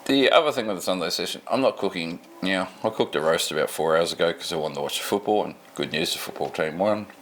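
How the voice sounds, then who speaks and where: A man's voice: low-pitched, fast, few pauses, fairly bright tone, slight background noise. A middle-aged man with an Australian accent, tired and rambling casually to camera in an unscripted home vlog. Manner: rambling, laid-back, self-deprecating. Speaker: vlogger. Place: home at night.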